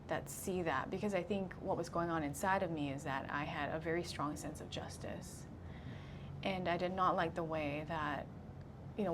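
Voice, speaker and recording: Female guest, 30s, grew up in Singapore, low-ish voice, measured testimony, noisy room. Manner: earnest, measured, laughs easily. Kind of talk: sharing a personal testimony.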